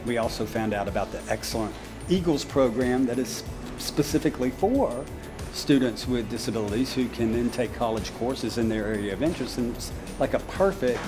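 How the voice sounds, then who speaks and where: A man in his sixties gives a sit-down interview indoors as an educator. His pitch sits in the middle of the male range. Music plays clearly behind him. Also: lively intonation, fairly quick. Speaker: special education teacher and cafe program leader. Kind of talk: sit-down interview for a promotional video. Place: indoor room of a cafe.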